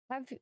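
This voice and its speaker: Slow, playful German woman streamer. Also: a little hiss or hum. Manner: playful exclamations, simple halting English. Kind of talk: casual chat while streaming a farming game.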